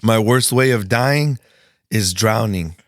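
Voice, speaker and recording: Excitable, foul-mouthed guest with a dry sound. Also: male voice, lowish voice, slow, dry recording. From Washington State, USA. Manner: animated, excitable, swears freely. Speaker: podcast guest. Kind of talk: casual podcast chat.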